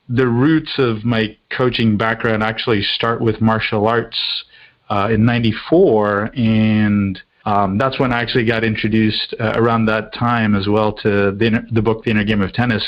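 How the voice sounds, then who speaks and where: A man's voice: fairly low pitch, dull audio. A middle-aged man who grew up in Australia, England and the US, answering conversationally as an interview guest. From India-born, grew up in Australia, England and the US. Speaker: guest, coach.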